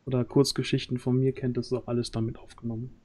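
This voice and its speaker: A man's voice: mid-range voice, little pitch movement, quick pace, a little mellow. A man in his thirties hosting a casual livestream chat from a small room at home, speaking into a close microphone. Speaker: host of a role-play audio drama project stream. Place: small room at home, on a group video call. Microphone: close boom-arm studio mic, headset.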